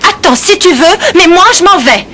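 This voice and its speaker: A woman's voice: very high-pitched, lively intonation, moderate pace, background noise. A woman playing a headstrong, impatient young woman in a French cartoon dub. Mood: impatient, defiant.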